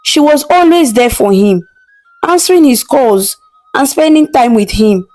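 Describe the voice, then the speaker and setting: A woman with a somewhat high-pitched voice tells a scripted folktale. Her storytelling is measured and expressive, with faint music playing behind her. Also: low-quality recording.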